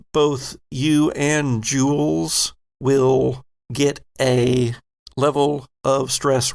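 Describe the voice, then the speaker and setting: A man narrating as game master of a tabletop game in a measured, descriptive way. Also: mid-range voice, gravelly.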